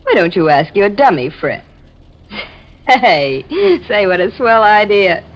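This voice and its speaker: An American actress playing a brassy, mocking young office worker in a 1950s radio drama, teasing and scornful. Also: female voice, mid-range voice, sing-song, slow. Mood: mocking, amused. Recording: muffled vintage radio, faint music.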